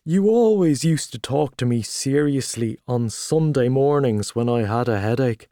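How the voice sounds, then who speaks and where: A man voicing a boastful, hearty Irish journalist, talking loudly and expansively over drinks. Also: medium pitch, lively intonation, a little slow, dry recording, studio quality, close to an everyday voice. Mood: boisterous. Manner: loud, expansive, back-slapping.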